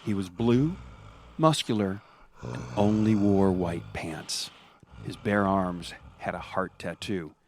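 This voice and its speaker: A man's voice: low voice, slow pace, a little mellow, slight background noise. A man narrating a scripted comic horror story as its storyteller.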